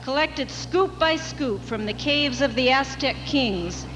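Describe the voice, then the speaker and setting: A woman playing a tough, brash long-haul trucker with gruff, folksy, bossy talk in a comic radio drama. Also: high voice, lively intonation, takes it slowly, noisy background. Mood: folksy, matter-of-fact. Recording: music behind, 1980s live radio broadcast.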